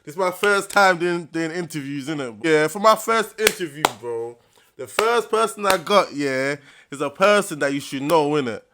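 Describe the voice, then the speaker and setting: A man's voice: fairly high pitch, lively intonation, moderate pace, background noise. A young man hosting his first interview, talking in hype slang and often asking "you understand". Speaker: interviewer and channel host.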